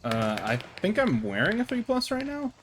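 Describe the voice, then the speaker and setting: A man's voice: high-pitched, wildly swooping pitch, moderate pace, a little hiss or hum. Rambling streamer man on a headset. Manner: rambling, self-correcting, laughs often. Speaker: streamer hosting a co-op game session. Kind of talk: casual gaming chat with friends.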